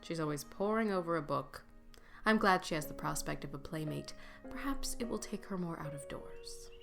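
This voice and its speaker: A woman's voice: sits low, moderate pace, vocal fry, soft background music. A woman playing a cordial but strict, resolute mother and neighbour in an audiobook drama, polite, proper and composed. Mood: polite, mildly disapproving. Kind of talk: audiobook drama character acting.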